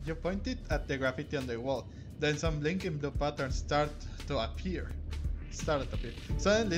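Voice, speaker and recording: A man giving casual gameplay commentary and reading game text aloud, with game music behind him. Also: on the high side. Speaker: gamer playing and commenting. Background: video game music.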